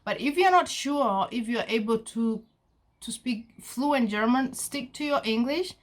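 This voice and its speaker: A woman's voice: on the high side, lively intonation, a little slow. A young woman from Kenya giving earnest, repetitive advice to her viewers in a casual vlog, often checking in with "okay". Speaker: vlogger giving visa advice.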